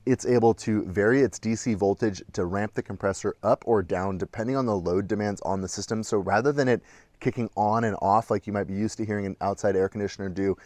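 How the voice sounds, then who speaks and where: A man's voice: lowish voice, darkish tone, dry recording. Upbeat man explaining a DIY install. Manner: upbeat, explains technical details plainly. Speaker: DIY home-build vlogger. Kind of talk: casual explanatory vlog narration. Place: attic, outdoor deck and indoor rooms of a barn home. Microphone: handheld action camera close up.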